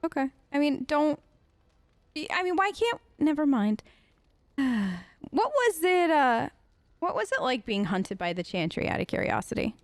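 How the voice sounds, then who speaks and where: A woman improvising in-character role-play chat for a let's play, casual and halting. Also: high voice, very animated, no room sound. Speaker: let's player role-playing game dialogue aloud.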